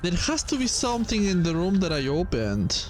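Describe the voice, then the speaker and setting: A man's voice: high-pitched, big pitch swings, normal speed, faint music behind. A man who is a native Spanish speaker, giving casual gameplay commentary as he plays, talking to himself with some exasperation and swearing. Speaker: gaming streamer playing a horror game demo.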